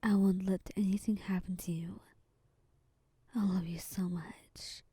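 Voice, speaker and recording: A woman voicing a protective young male lover who is fierce but guilt-stricken, in a soft, whispery voice. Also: mid-range voice, steady tone, a little slow, slightly rough, no echo. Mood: tender.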